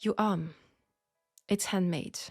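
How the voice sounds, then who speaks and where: A woman with a French accent playing a frank, perceptive seamstress in an intimate steampunk audio drama, speaking softly and deliberately with pauses. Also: medium pitch, fairly even intonation, no room sound, studio quality, soft background music. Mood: pointed, critical. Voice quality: soft, breathy. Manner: hushed, deliberate, with pauses and breathy sighs. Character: frank, perceptive young Parisian seamstress, gently reproachful. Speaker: audio roleplay performer.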